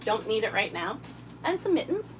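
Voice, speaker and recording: A woman hosting a casual livestream while tidying her RV, a little hoarse from a summer cold, chatting and thanking viewers by name. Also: a little high, sing-song, normal speed, over music. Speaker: livestream host. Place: inside an RV.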